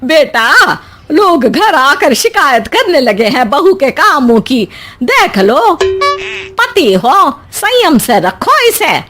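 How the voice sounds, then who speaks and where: A woman voicing a scolding, gossiping village mother-in-law in an animated story, nagging and accusing. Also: high voice, takes it slowly, heavily acted. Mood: stern, annoyed.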